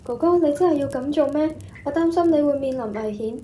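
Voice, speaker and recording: Woman playing worried little sister, high soft-toned mellow voice, pleading, radio play. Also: a little fast, slight background noise, lightly acted. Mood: anxious. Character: anxious caring younger sister of a general. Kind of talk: amateur Cantonese radio drama acting.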